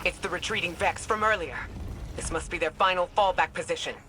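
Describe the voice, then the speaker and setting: An actress playing a steady, commanding military leader in a video game, composed and authoritative. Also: female voice, lowish voice, brisk, close to an everyday voice. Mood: urgent, commanding. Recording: noisy game ambience behind the voice.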